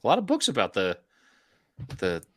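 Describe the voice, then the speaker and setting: A man's voice: fairly low pitch, huge pitch swings, normal speed, dry recording, clean studio sound. A man co-hosting a baseball talk show in casual, joking chat.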